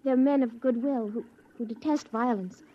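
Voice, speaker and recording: An actress in an old radio drama playing a gentle, earnest young colonist woman, on an old recording. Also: a little high, normal speed, muffled recording, lightly acted. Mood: reassuring.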